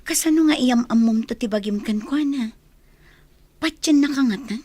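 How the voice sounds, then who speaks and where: A woman's voice: fairly high pitch, moderate pace, warm tone, no room sound, lightly acted. A woman with an Ilocano accent playing a cautious, loyal cousin in a radio drama, asking worried questions.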